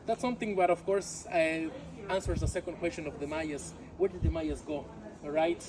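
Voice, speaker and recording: Chatty Mexican tour guide outdoors. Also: male voice, on the high side, steady tone, normal speed, poor audio. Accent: Mexican Spanish. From Yucatán, Mexico. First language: Yucatec Maya. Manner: chatty, keeps saying "my friends" and "all right?"; jokey, laughs at his own jokes. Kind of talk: outdoor walking tour talk. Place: outdoors among ruins, tour group around. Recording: outdoor crowd of tourists behind.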